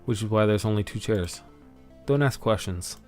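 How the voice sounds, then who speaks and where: A man's voice: fairly low pitch, moderate pace, darkish tone, faint music behind. A man streaming his game prep in a casual way, rambling to himself as he works. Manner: rambling self-talk while working. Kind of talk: casual thinking-aloud stream commentary.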